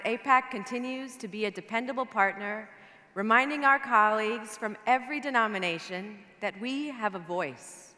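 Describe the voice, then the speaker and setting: A woman whose first language is Farsi, a rabbi giving an earnest, measured prepared speech to a conference. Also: mid-range voice, bright, forceful tone. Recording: long reverb of a large hall.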